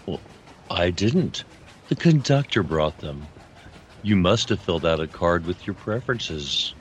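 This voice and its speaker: A man playing a calm, evasive man of mystery in an audio fantasy drama. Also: deep voice, a little slow, a little hiss or hum. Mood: calm, cryptic. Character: enigmatic, unruffled man of mystery.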